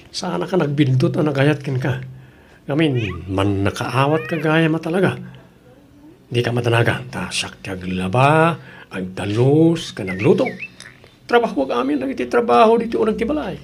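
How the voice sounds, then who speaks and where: A man playing a sly, ingratiating boyfriend in an audio drama, flattering and wheedling as he talks. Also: fairly high pitch, moderate pace, vocal fry, a little hiss or hum.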